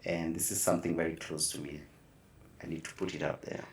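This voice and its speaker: Kenyan guy hosting a chatty podcast, deep voice, faint noise in the recording. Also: level tone, a little fast. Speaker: podcast host. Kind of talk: casual conversational interviewing, mixing English and Swahili. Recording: echoey room, distant mic, faint noise.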